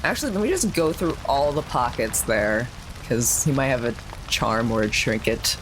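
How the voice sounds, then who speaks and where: A woman's voice: extremely low pitch, expressive, normal speed, noisy background. A woman playing a tabletop game, casually thinking aloud as she describes what her character does.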